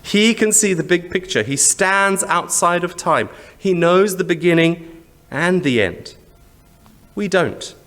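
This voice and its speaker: Animated Zimbabwean male preacher. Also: high voice, slow. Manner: conversational and explanatory, with rhetorical questions and repetition. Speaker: church preacher. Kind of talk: sermon.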